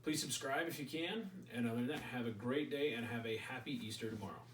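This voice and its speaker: A man chats his way through a casual gear review from home, rambling and cracking jokes as he thinks aloud. His voice sits at an ordinary middle pitch. It sounds a little distant and roomy, with a faint hiss behind it. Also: fast, a bit bright. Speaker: channel host. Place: indoors at home.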